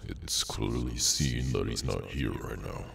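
A man voicing a weary, menacing demonic henchman in an ASMR audio roleplay, sardonic and low-key, with a gravelly voice. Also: a bit bright, full character voice. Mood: matter-of-fact menace. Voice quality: very deep, gravelly, creaky. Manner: slow, low-key, casually sinister, sardonic.

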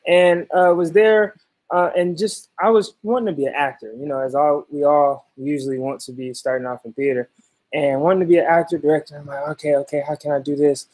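A man's voice: a little high, fairly even intonation, fairly quick, darkish tone, low-quality recording. A man in his thirties, a sound designer and producer, speaking casually with many fillers on a video call. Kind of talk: panel discussion on video call. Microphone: webcam on video call.

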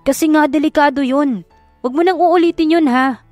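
A woman's voice: high-pitched, normal speed, darkish tone, no room sound, faint music behind, voice pushed up, natural voice. Urgent, scolding young Filipino hero, acted by a woman. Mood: scolding, worried.

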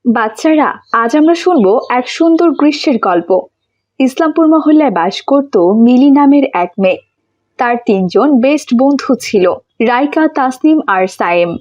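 A woman's voice: high voice, expressive, unhurried, darkish tone, muffled recording. A woman narrator reading a scripted story for children.

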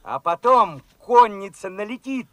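Young soldier of the revolution, very high pitch, forceful ringing tone, fervent, colloquial, Soviet radio play. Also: male voice, a little slow, no echo. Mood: excited. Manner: excited, colloquial, eager questioning.

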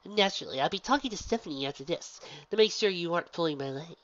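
A woman's voice: on the low side, animated, quick pace, no room sound, voice pushed up, natural voice. A woman voicing a wry, guarded female pony gym leader who is easily worried. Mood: wary. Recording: dull, dry lo-fi recording.

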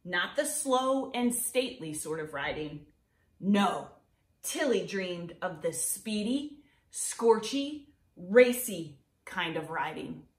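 A middle-aged woman reading a picture book aloud to children in an expressive, deliberate storytelling way. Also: lowish voice, roomy sound. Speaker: children's read-aloud presenter.

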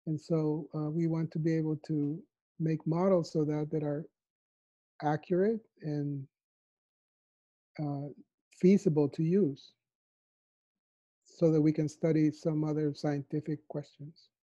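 Man from El Salvador, fifties, soft thoughtful interviewee with a slightly high, very mellow voice on dull lo-fi call audio. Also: flat delivery, measured pace, long pauses. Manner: soft-spoken, thoughtful, with frequent fillers. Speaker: mathematician interviewee. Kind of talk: reflective interview answers. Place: home living room. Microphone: lapel mic on a video call.

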